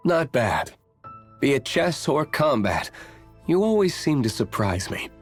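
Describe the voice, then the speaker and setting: An actor playing an aloof, brooding young nobleman warrior in a video game, reserved and formal, speaking in measured, curt lines. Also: medium pitch, very animated, soft background music.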